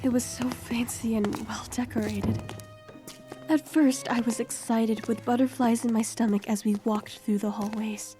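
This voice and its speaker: A young woman playing a wary, homeless heroine in her twenties, telling her story softly and with measure in an audio drama. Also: on the high side, fairly even intonation, normal speed, music in the background, close to an everyday voice. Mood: reflective. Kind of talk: first-person audio drama narration and dialogue.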